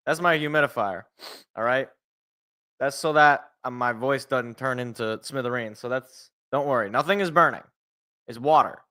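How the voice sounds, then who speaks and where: American male sports host, bright but congested and a little raspy, rambling and jokey. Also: medium pitch. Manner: rambling, jokey, repeats phrases for emphasis. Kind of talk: casual solo sports talk. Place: indoors at home.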